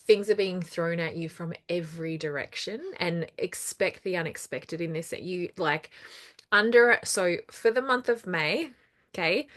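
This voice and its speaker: A woman with a somewhat low-pitched voice talks straight to her viewers, casual but earnest. She leans on repeated words to drive points home and sounds reassuring. A slight lingering cough from recent throat trouble stays with her. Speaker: numerology channel host. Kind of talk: casual solo talk to camera.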